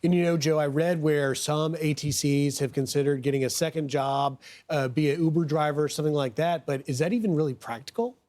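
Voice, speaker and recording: A man with a slightly high voice works as a news host. He speaks in a broadcast interview style, setting up guests and asking them questions. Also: a little fast, few pauses, darkish tone.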